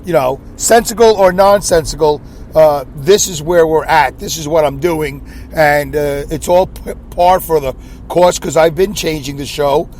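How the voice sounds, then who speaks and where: A man's voice: fairly high pitch, a little slow, darkish tone. Scratchy-voiced man rambling in a car. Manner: self-deprecating, rambling, frequent throat clearing. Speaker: podcast host. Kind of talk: solo rambling podcast monologue. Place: inside a car.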